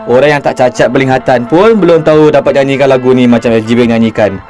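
A man's voice: mid-range voice, quick pace, a little mellow, faint music behind, natural voice. A man with a Singaporean Malay accent voicing an earnest, sentimental father in a cartoon, explaining things to his children. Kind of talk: cartoon dialogue.

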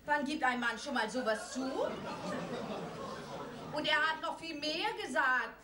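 A woman's voice: sits high, measured pace, a crowd in the background. An actress playing a posh older woman from Paris, grand and affected, who drops French phrases into her talk. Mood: fond. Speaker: Tante Mary, elegant aunt from Paris. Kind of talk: 1970s televised stage comedy. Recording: roomy TV stage recording from a distance, faint hiss.

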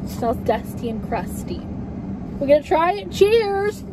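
A woman's voice: high voice, unhurried. Chatty young Southern woman in a car. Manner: chatty, playful, excitable exclamations. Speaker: vlogger. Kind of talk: casual car vlog chat. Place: parked car, indoors. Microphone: camera on the dashboard. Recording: noisy car with outside ambience.

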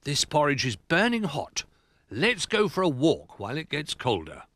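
A man voicing a brisk, bossy male teddy bear in a children's story, emphatic and instructing. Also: fairly high pitch, wildly swooping pitch, fairly bright tone, dry recording, voice pushed up, heavily acted.

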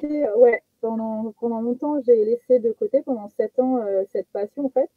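A young woman from French Guiana chatting casually as a guest comedian, animated and self-mocking, telling personal anecdotes over a video call. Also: a little high, moderate pace, no room sound. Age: young adult. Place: small attic bedroom. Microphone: headset on a video call. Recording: muffled phone-like video call sound.

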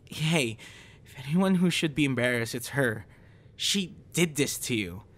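A young man performing an intimate audio roleplay as a troubled guy confiding in a close friend after a breakup, halting and stammering. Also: medium pitch, expressive, fairly bright tone. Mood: indignant, reassuring. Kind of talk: intimate ASMR boyfriend roleplay, acted monologue. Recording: noticeable background noise.

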